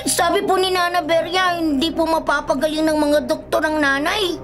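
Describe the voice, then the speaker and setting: Little boy, very high pitch, anxious, polite, pleading, radio drama, music behind. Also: fairly even intonation, moderate pace, fairly bright tone. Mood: worried, pleading. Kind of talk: Filipino radio drama acting.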